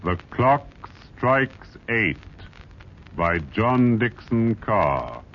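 A man's voice: lowish voice, big pitch swings, noisy background, pitched above the performer's own voice, exaggerated voice. A man playing a grave radio storyteller. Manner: slow, grave, regretful delivery. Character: sombre male storyteller who introduces a radio thriller. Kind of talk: 1940s radio drama announcing. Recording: dull lo-fi archive radio with music.

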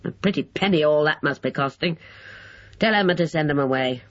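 Man voicing a grumpy bedridden old man, high whinnying cackle, raised pitched, dull lo-fi audiobook sound. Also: brisk, natural voice. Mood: grumbling. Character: gleeful old bedridden man, crowing and spiteful.

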